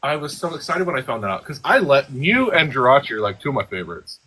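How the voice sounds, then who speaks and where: A man chatting casually on a live stream, talking in an excitable, eager way. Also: mid-range voice, fast talker, husky, low-quality recording.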